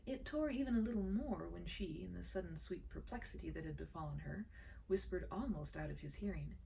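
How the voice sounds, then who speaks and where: A woman reading a short story aloud in a gentle, dramatic storybook manner. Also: on the low side, animated, brisk, gravelly, recorded from a distance, a little hiss or hum, poor audio. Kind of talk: expressive scripted story narration.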